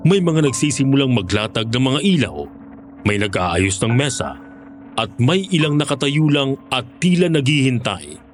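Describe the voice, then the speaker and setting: A man's voice: mid-range voice, big pitch swings, normal speed, lightly acted. A man with a Filipino accent narrating as a former hunter recounting a horror story. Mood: ominous, measured. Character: former male aswang hunter recounting his experience. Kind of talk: scripted horror story narration in first person. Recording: music bed under the narration.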